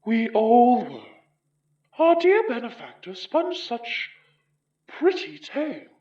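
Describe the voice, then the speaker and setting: A woman's voice: fairly high pitch, sing-song, slow pace, distant mic. A woman playing an arrogant, mocking aristocratic spirit with a British accent in a horror audio drama, pompous and taunting. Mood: bitter musing. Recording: dull, roomy, off the mic.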